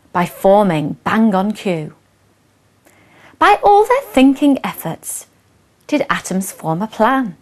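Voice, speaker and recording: A woman reciting verse expressively, with measured, dramatic phrasing and hushed line endings. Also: mid-range voice. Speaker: poet and presenter reading verse.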